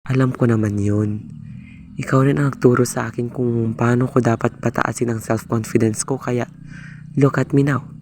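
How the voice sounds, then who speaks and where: A shy, lovestruck young Filipino man played by a male amateur actor in a radio drama. His delivery is even and hesitant, with slight noise on the recording. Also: medium pitch, normal speed, close to an everyday voice. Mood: earnest, nervous. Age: young adult. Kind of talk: amateur radio drama acting with narrated monologue.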